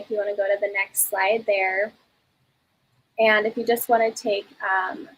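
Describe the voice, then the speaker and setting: A woman's voice: mid-range voice, a bit bright, slightly rough, poor audio. A woman hosting an online community session, chatty and encouraging, with frequent ums. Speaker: community host.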